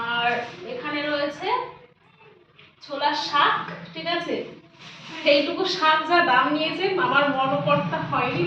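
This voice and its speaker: A high-voiced woman with a West Bengal Bengali accent talks in a chatty, casual vlog style, addressing viewers like friends. The sound is strongly echoey and a little dull, as if recorded indoors on a phone or camera some way off. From West Bengal, India. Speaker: lifestyle vlogger. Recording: roomy echo, distant mic, dull, faint noise.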